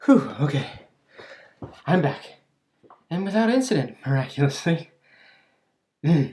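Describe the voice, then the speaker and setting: A voice actor playing a clumsy, cheerfully eccentric alchemist shopkeeper in an ASMR roleplay, chatty and absent-minded, halting and trailing off. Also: medium pitch, sing-song, warm tone, a little hiss or hum. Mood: eager salesmanship. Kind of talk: ASMR roleplay, scripted character acting.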